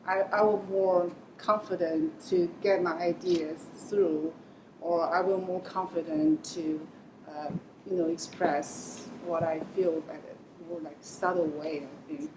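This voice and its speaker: A woman speaking English as a second language on a panel, halting and thoughtful as she works out her phrasing. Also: fairly even intonation. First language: not English. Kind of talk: panel discussion. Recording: echoey room with audible rumble.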